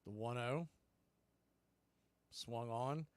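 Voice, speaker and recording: A man calling live baseball play-by-play on the radio, in a brisk, conversational way that tracks every pitch and count. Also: clear, resonant tone, no echo.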